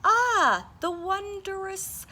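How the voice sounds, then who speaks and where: A woman voicing a cheerful, bossy little yellow bird who plays teacher, reading a picture book aloud. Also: extremely high pitch, lively intonation, extremely slow, voice pushed up, heavily acted. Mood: eager. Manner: chirpy, eager, exclaiming.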